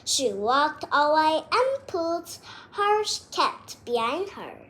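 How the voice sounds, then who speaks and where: A child reading a detective story aloud word by word, with halting breaks between phrases. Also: sits high, big pitch swings, fairly bright tone.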